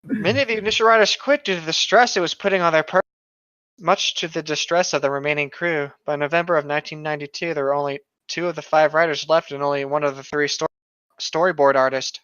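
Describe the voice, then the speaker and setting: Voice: mid-range voice, fairly even intonation, fast talker, fairly bright tone, clear, resonant tone, dry recording. A co-host reading internet horror stories aloud and reacting casually, on a dull lo-fi microphone.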